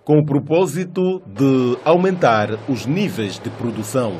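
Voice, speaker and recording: A man reading a formal news report in a measured voice. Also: mid-range voice, animated, over music. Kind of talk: scripted news narration.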